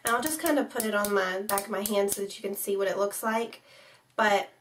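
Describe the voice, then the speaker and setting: A young woman with a slight cold and a raspy edge, chatting casually as a beauty vlogger co-host about makeup products in detail. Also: medium pitch, fairly even intonation, fairly quick, a bit bright, far from the mic, slight background noise. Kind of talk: casual chat reviewing makeup.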